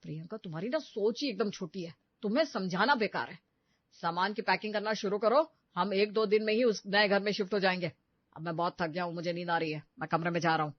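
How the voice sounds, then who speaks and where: A man's voice: high-pitched, fast, no room sound, highs cut off. An actor playing a stingy, lecturing young father in an acted family story, brushing off every request. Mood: irritated. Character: stingy, dismissive young father obsessed with his new house. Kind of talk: acted Hindi audio story dialogue.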